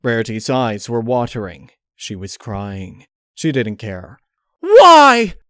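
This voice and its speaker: Low-voiced man narrating, very dry sound. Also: measured pace, darkish tone, no room sound. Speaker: narrator, story reader. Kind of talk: scripted horror fiction narration.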